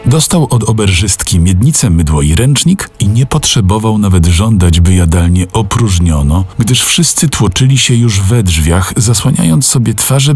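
Male narrator for a classic novel: lowish voice, highly varied intonation, careful diction, faint music underneath. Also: a little mellow, breathy edge. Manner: measured, deliberate diction. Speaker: audiobook narrator, voice actor. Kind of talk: dramatic scripted literary narration.

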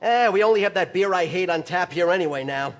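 A man's voice: sits high, lively intonation, fast. A man playing an easygoing, boastful American goon chatting casually in a video game.

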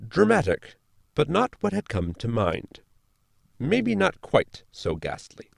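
Man acting pedantic, gravelly, very animated spaceship crewman. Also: a little high, takes it slowly, dry recording, studio quality, exaggerated voice. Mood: lecturing. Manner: formal, lecturing, wordy. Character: erudite, pedantic, deadpan male crew member. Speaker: actor in audio drama. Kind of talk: science fiction sitcom audio drama acting.

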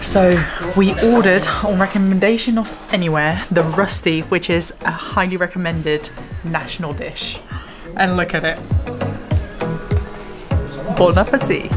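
A woman's voice: lowish voice, fairly even intonation, unhurried, long pauses. A young woman vlogging a trip to a handheld camera, bubbly and gushing. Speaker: travel vlogger. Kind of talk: casual travel vlog to camera. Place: on the move, trains, restaurants and outdoors. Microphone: handheld camera at arm's length. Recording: background music under voice.

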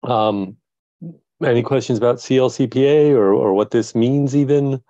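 A man presenting slides on a panel, talking in a measured way with many pauses and fillers. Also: mid-range voice, dark tone, no echo, highs cut off. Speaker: panelist, climate team leader.

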